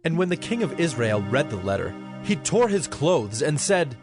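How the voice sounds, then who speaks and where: A man's voice: a little high, very animated, moderate pace. A man giving scripted narration for a dramatized scripture recording. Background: instrumental music.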